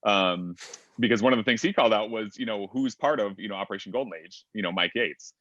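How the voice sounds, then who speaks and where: A man's voice: on the low side, fast talker, fairly bright tone, dry recording. A man on a panel, chatting casually over a video call and filling his talk with ums and sort-ofs. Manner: chatty, full of fillers and hedges. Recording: dull, dry lo-fi audio.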